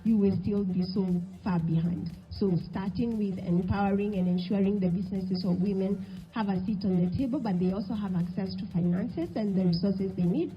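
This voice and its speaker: A woman's voice: mid-range voice, fairly quick, soft background music. A woman answering on a panel in an emphatic way. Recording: dull lo-fi event audio.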